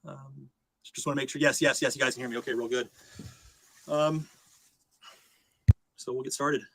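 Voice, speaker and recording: A man's voice: medium pitch, steady tone, moderate pace, creaky, sharp tone, a little hiss or hum, low-quality recording. A man speaking casually and unscripted to live stream viewers, hesitant, polite and plain-spoken.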